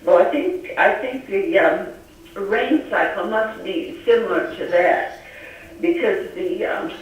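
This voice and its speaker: A woman's voice: medium pitch, slow, a little hiss or hum. A woman chatting casually as an amateur radio operator, hesitant and searching for her words. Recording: very echoey, distant, dull lo-fi audio.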